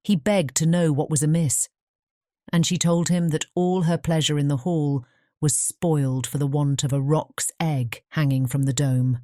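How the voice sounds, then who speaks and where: A woman narrates a storybook in a low, mellow voice. The reading is scripted audiobook narration, recorded very dry and close. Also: fairly even intonation, normal speed, dry recording.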